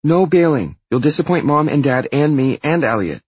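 A man's voice: a little high, animated, normal speed, slightly rough, no echo, highs cut off, pitched above the performer's own voice. A man voicing an exuberant, bossy young woman, a demanding little sister in an audiobook story. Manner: demanding, emphatic, dismissive.

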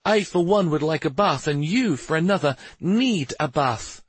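A male narrator puts on an exasperated wife in a fantasy tale. The tone is pitched up and lively, with a husky edge, as she scolds bluntly with a sharp tongue. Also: measured pace, no echo. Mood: exasperated, scolding.

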